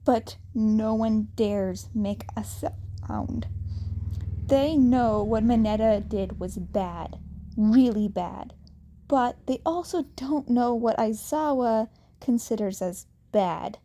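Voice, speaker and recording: A woman reading a fanfiction story aloud, slowly and haltingly, with some restarts, and she raises her pitch when she voices the characters. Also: warm tone. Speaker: fanfiction reader.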